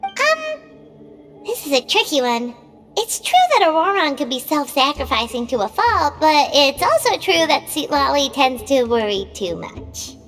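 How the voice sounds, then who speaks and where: A tiny cheeky fairy girl from an anime game. She squeaks curiously at an extremely high pitch with wildly swooping intonation. The sound has a long reverb. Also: big pitch swings, measured pace, exaggerated voice. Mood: concerned. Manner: squeaky, third-person cutesy talk. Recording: long reverb, loud music behind.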